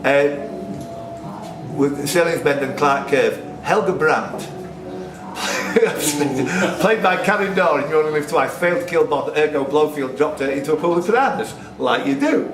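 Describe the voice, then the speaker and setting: Animated male quizmaster in an echoey room. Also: fairly high pitch, measured pace, far from the mic. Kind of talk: reading quiz questions with casual banter. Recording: echoey room, off-mic, music behind.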